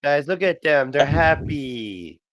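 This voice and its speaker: Unhurried man chatting casually. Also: on the high side, animated, no echo, muffled recording. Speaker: podcast commentator. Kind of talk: casual chat.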